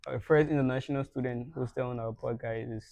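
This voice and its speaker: A man's voice: medium pitch, fairly even intonation, brisk, creaky, darkish tone, dry recording. A young man hosting a podcast interview in a casual way. He laughs often and repeats words when he starts a sentence. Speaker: podcast host.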